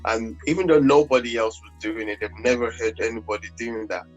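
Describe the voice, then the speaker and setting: A Nigerian man, a gospel singer, talking in a relaxed interview, rambling with many fillers and restarts. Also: mid-range voice, brisk. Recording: dull lo-fi audio with faint music.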